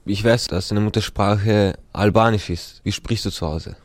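A man's voice: on the low side, steady tone, moderate pace, no echo. A young man whose first language is Turkish, asking questions in a friendly, curious way. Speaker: student interviewer. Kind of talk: casual interview.

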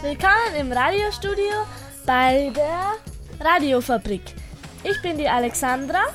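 High-voiced child radio presenter. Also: lively intonation, measured pace, fairly bright tone, faint music behind. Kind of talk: show introduction.

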